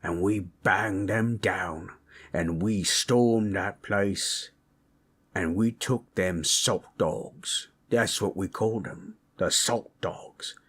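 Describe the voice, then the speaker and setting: A man voicing a gentle, proud orc warrior in an intimate audio roleplay, telling tales in a folksy, rough-hewn, husky way. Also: sits low, full character voice. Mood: grim, bitter. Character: gentle, proud male orc warrior storyteller, affectionate, teasing. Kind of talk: intimate comfort roleplay storytelling.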